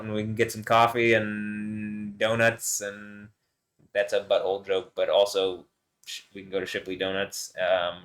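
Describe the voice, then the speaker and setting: A man vlogging alone, rambling and swearing, often chuckling and trailing off mid-sentence. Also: a bit bright. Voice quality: deep voice. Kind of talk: rambling solo monologue to camera.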